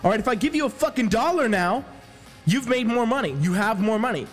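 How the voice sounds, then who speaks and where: A man's voice: extremely high pitch, animated, brisk, long reverb tail. A man hosting a fitness podcast as a coach, giving a profane, brash motivational rant, a little sniffly from allergies. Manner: brash, swearing, hype-man delivery.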